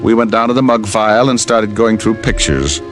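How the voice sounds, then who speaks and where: An American actor playing a wisecracking, tough private detective in an old-time radio drama, quipping in a wry, deadpan way as he narrates and trades lines. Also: on the low side, normal speed, lightly acted. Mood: brisk, matter-of-fact. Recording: old radio recording with music.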